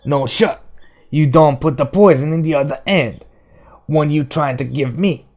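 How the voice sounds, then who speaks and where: A man voicing a spiteful, suspicious captive woman in an audiobook, hoarse and jeering in crude dialect. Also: on the high side, unhurried, a little mellow, voice pushed up.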